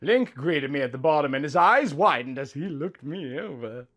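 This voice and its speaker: A young bride recounts her honeymoon story as played by a man. The delivery is swooning and melodramatic, sweeping widely in pitch from a fairly raised base. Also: animated, moderate pace, a bit bright. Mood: dreamy, contented. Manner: swooning, overwrought. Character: young woman narrating her honeymoon, breathy, lovestruck. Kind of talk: melodramatic romantic fan fiction reading.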